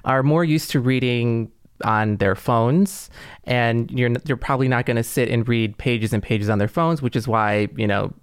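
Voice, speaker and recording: A man's voice: mid-range voice, moderate pace, clean tone, no echo, clean studio sound. A man talking casually and conversationally as a co-host on a podcast.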